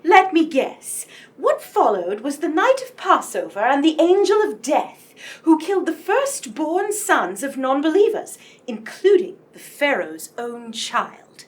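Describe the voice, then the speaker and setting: An inquisitive, genteel lady in a radio drama, acted by a woman. Her voice is high and highly varied in melody, and she speaks with courteous, well-read precision. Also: very animated, measured pace, few pauses. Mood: curious. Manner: polite, inquisitive. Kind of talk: radio play acting.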